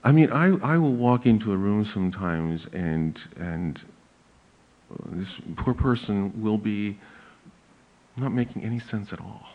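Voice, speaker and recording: A pastor with a big voice, giving a slow, deliberate lecture on church hymns with emphatic rises. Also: male voice, fairly low pitch, lively intonation. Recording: narrow phone-like audio.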